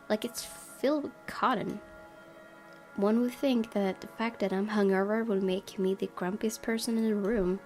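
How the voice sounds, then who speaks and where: A woman narrates a visual novel in the first person, reading from the script. Her pitch is average for a woman, and music plays clearly behind her. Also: darkish tone. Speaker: gaming video host reading a visual novel aloud.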